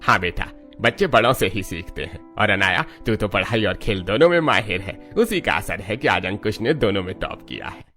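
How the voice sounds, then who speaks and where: A man's voice: on the low side, animated, normal speed, hardly any pauses, pushed and bright, faint music behind. A man voicing a proud, affectionate middle-aged father in a cartoon story.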